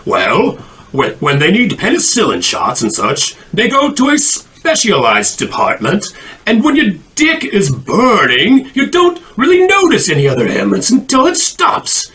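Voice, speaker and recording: A man's voice: high voice, wildly swooping pitch, a little slow, a bit bright, heavily acted. A man voicing a lewd older puppet doctor in an adult comedy, breezily inappropriate, theatrical and half-singing. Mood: breezy, lecturing. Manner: theatrical, swooping, half-sung delivery. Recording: hip hop music behind voice.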